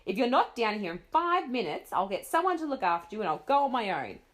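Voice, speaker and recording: A stern, impatient mother shouts and scolds her child, as a woman reader puts her on. Her voice is loud and pushed up high. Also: wildly swooping pitch, fast talker. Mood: angry shouting.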